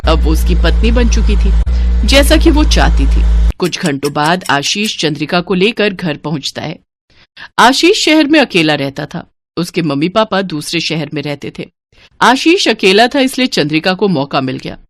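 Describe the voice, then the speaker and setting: A woman's voice: lowish voice, big pitch swings, normal speed, no room sound. A woman reading a scripted story as a dramatic narrator.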